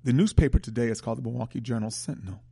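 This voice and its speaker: A man hosting a talk show, giving closing remarks in a halting way and repeating words as he starts over. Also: medium pitch, vocal fry, warm tone, slightly rough. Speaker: radio show host.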